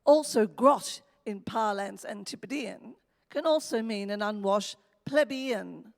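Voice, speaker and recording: A woman's voice: mid-range voice, slow, creaky. A woman playing a pedantic interrupter who explains words in precise, confiding rhymed asides. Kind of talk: rhymed radio play aside. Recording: reverberant hall.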